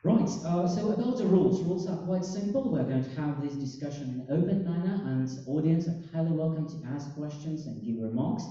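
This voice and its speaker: Man, panel moderator, halting delivery, hall reverb, dull lo-fi sound. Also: on the high side, animated, mellow, slight background noise. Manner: halting, many fillers and restarts. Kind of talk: hosting a panel discussion. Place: large conference hall. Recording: roomy hall, distant mic, dull sound.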